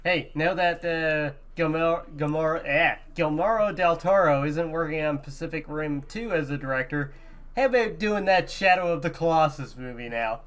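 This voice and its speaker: Male gaming podcast co-host, slightly high register, relaxed informal conversation. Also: moderate pace. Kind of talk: casual gaming podcast chat.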